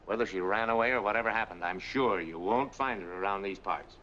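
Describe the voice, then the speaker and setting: A man's voice: fairly low pitch, fairly quick, bright, forceful tone, muffled recording. An actor playing a brusque, skeptical American man in a 1940s film noir, matter-of-fact and wry. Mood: brisk, practical. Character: brusque, skeptical married man, hard-nosed fact-finder. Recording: 1940s film soundtrack, faint background noise.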